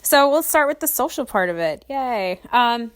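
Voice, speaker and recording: A woman's voice: on the high side, expressive, normal speed, clear, resonant tone. A young adult woman hosting a casual solo podcast. She has a cold and sniffles, and she rambles with lots of "um"s and frequent little laughs. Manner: chatty and rambling, laughs often, many ums. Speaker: podcast host.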